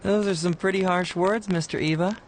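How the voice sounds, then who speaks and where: A man's voice: high-pitched, fairly even intonation, a little fast, slight background noise. An actor playing a crafty, affable young male official, politely coaxing and playful in a video game. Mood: sly, teasing. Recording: game music under the voice.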